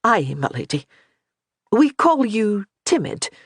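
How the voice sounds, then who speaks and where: A reader voicing a contrite young Highland clanswoman who speaks hesitantly and deferentially. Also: big pitch swings, slow pace, slightly rough, dry recording, studio quality, exaggerated voice. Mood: sheepish.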